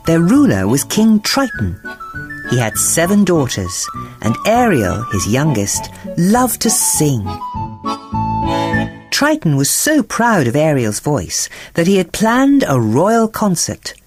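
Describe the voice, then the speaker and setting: A woman narrating a scripted children's bedtime story in an expressive, theatrical storytelling manner. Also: sits low, takes it slowly, over music.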